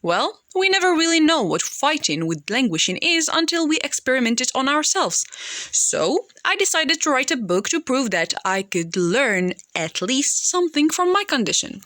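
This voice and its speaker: A woman's voice: sits high, big pitch swings, normal speed, a bit bright, dry recording, studio quality. A woman reading scripted audiobook narration.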